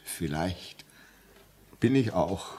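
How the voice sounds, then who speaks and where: An actor playing a tormented, fearful railway signalman in a radio play, speaking in an agitated, halting way. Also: low voice, expressive, mellow, husky, full character voice. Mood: bitter, despairing. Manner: agitated, halting, swinging from whispers to desperate outbursts. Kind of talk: German radio play acting.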